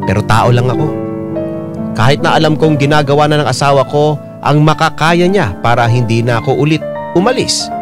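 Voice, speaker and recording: A man's voice: a little high, brisk. A man narrating a dramatic first-person story as a radio storyteller. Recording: piano music behind the voice.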